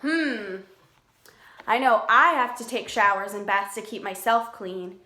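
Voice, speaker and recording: A woman's voice: mid-range voice, expressive, a little slow, bright, forceful tone. A woman preschool teacher reading aloud to young children, talking simply and putting questions to them. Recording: echoey room.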